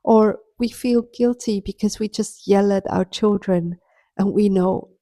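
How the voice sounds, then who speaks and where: A woman spiritual healer talks in an unhurried, drawn-out way, taking her time over each phrase. Her voice is warm and mellow, never bright, with a middle pitch. She coaches her listener in a soft, earnest tone. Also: fairly even intonation, dry recording, studio quality. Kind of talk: reflective motivational monologue.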